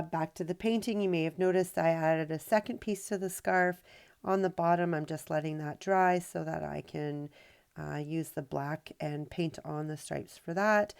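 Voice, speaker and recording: A woman host narrating a painting tutorial in a chatty, relaxed way, her voice nasal from a cold and full of ums and uhs. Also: on the low side, vocal fry, smooth. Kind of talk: casual voice-over tutorial narration.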